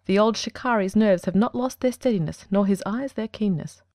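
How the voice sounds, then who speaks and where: A woman's voice: mid-range voice, normal speed, darkish tone, dry recording, studio quality, close to an everyday voice. A woman voicing a coolly self-assured male detective genius, precise and formal, in a dramatic audiobook. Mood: brisk, appreciative. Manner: crisp, formal, commanding.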